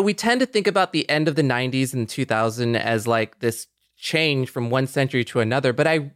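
A man's voice: a little high, fairly bright tone, no room sound, clean studio sound. A middle-aged man hosting a podcast in a conversational, agreeable and reflective way. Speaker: podcast host.

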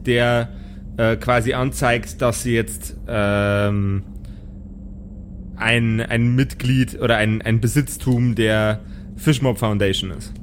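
A man's voice: medium pitch, fairly even intonation, takes it slowly, slight background noise. A man running an improvised tabletop role-play as game master, narrating casually.

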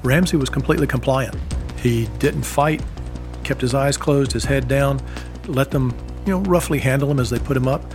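A man, a former embassy security agent, recounting events in a measured, storytelling way with occasional fillers. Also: medium pitch, a little mellow. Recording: music bed underneath.

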